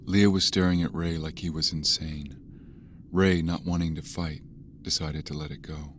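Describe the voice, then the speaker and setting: An actor narrating an unsettling domestic horror audio drama in a measured, deliberate voice. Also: male voice, extremely low pitch, steady tone, a little hiss or hum. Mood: grave, measured.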